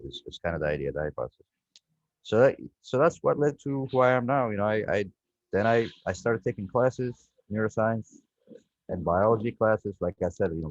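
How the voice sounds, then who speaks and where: A man's voice: low-pitched, no echo. A man, a guest researcher telling his story on a video call in a reflective, rambling way. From Ethiopia. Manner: reflective, rambling with frequent "you know" and restarts. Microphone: video call microphone. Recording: dull lo-fi video call audio, dry.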